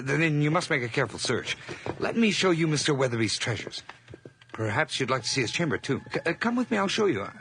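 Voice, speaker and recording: A man's voice: mid-range voice, a bit bright, a little hiss or hum. An actor playing a nervous, over-polite hired man hiding guilt, stammering and effusive in an old radio horror drama.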